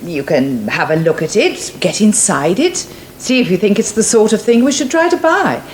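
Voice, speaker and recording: Woman playing brisk english village committee lady, lively voice, echoey radio soap. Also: mid-range voice, natural voice. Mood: informative. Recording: echoey, slightly noisy 1980s radio broadcast.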